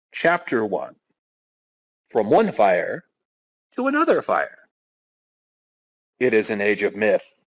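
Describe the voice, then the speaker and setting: A man's voice: fairly low pitch, no room sound, low-quality recording. A man hosting a podcast, giving a dramatic reading with casual, wry asides. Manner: wry, self-interrupting commentary. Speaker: podcast host.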